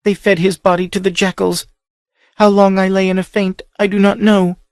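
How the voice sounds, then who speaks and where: A man's voice: high-pitched, steady tone, normal speed, dry recording, studio quality, voice pushed up, lightly acted. A man reading an audiobook, voicing a desperate young noblewoman held captive, pleading in a breathless, urgent way. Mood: anguished. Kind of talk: audiobook character voice.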